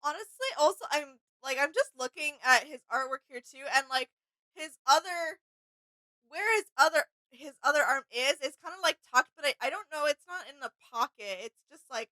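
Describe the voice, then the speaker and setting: A woman co-hosting a reaction video, musing aloud in casual, animated chat. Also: high-pitched, normal speed, no room sound, low-quality recording.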